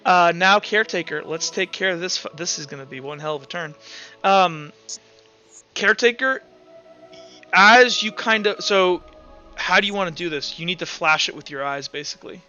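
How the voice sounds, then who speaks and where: A man narrating a tabletop roleplaying game as game master in an animated, casual, profane way. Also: high voice, moderate pace, muffled recording, soft background music.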